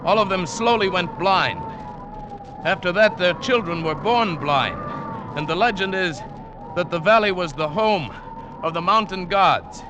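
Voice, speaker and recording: An actor playing an earnest, superstitious South American mountain guide telling his perilous adventure in a measured, dramatic 1940s radio style. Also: sits high, expressive, noisy background. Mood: grave, wary. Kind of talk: 1940s-50s radio drama acting and narration. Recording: vintage radio recording with music.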